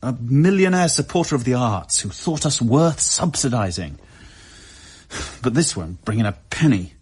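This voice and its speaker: In a radio play, an aggrieved, possessive musician. His husky voice swings widely in pitch as he accuses and complains. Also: big pitch swings, moderate pace, a little mellow. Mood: bitter, suspicious. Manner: indignant, accusatory. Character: Tom, aggrieved, possessive busking classical musician. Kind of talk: radio drama acting.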